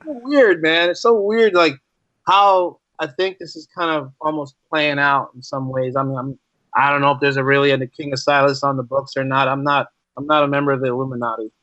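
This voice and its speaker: A man's voice: medium pitch, steady tone, brisk, sharp tone, no echo, lo-fi sound. A talkative man speaking casually and enthusiastically as a guest in an interview. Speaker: podcast guest, writer and podcaster.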